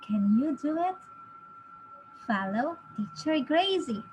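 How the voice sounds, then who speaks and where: A woman with a high, very animated voice teaches reading to small children. She goes extremely slowly, enunciating every sound with care and encouragement. Some noise sits faintly behind her. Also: rough recording. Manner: deliberate, encouraging, exaggerated enunciation. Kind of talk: phonics lesson addressed to children.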